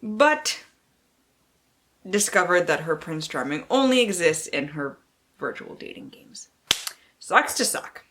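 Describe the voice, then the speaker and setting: A woman's voice: lowish voice, big pitch swings, slow, long pauses, fairly bright tone. A woman book vlogger who mixes casual, slangy chat with mock-dramatic blurb reading and playful, sweary asides to herself.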